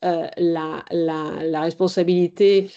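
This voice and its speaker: A middle-aged woman from Italy, speaking as a guest at a conference with measured, hesitant delivery. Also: on the low side, steady tone, mellow. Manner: measured, hesitant with many pauses. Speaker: board chair and guest speaker. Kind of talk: conference talk. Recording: slightly dull lo-fi sound.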